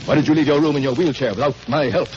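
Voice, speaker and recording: A man's voice: a little high, fairly even intonation, very fast, soft background music, close to an everyday voice. An actor in a 1930s radio drama playing an imperious, falsely genial rich man, formal and patronizing. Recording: scratchy, muffled 1939 radio recording.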